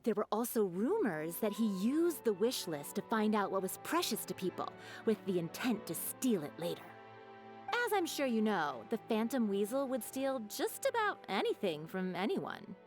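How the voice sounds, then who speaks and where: An actress playing a gossipy, expressive townswoman in a video game, conversational and a little dramatic. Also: mid-range voice, normal speed, soft background music, natural voice. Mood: informative.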